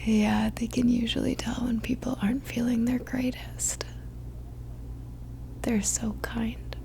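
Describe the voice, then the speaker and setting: Slow, whispering ASMR woman. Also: on the high side, flat delivery, slight background noise. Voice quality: soft, breathy whisper. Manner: gentle, soothing, unhurried. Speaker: ASMR roleplayer. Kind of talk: whispered ASMR roleplay as a caring friend.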